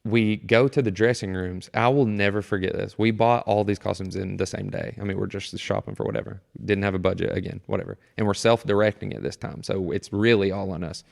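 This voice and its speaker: A man chatting casually and telling stories in an animated way, acting out how people react. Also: on the low side, brisk, hardly any pauses. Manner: animated storyteller, acts out reactions. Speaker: guest, amateur stage performer. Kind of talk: casual storytelling chat. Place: temporary studio in a theatre. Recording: clear background noise and room ambience.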